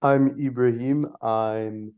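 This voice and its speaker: A nineteen-year-old man giving a measured, articulate view in a student podcast discussion. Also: medium pitch, steady tone, smooth, highs cut off. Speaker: student panelist.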